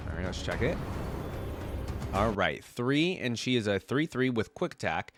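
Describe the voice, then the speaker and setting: A man's voice: lowish voice, big pitch swings, a little slow. A man congested from a cold, giving casual, informal reaction commentary as a video host, thinking aloud as he goes. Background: loud music from the videos watched.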